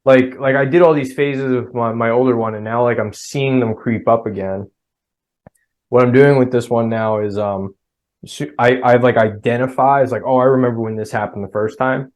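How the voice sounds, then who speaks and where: Middle-aged New Jersey guy, mid-pitched, rambling casual podcast talk with lots of restarts. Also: steady tone, moderate pace, darkish tone. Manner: rambling, restarts often, acts out his kids' voices. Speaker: podcast guest. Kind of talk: casual chat.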